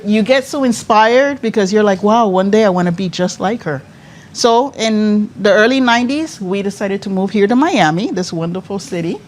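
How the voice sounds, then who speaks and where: A woman in her fifties, born in Cuba, a pageant director telling her life story as an interview guest, laughing often and restarting her sentences. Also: medium pitch. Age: 50s. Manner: lively, laughs often, talks with many restarts.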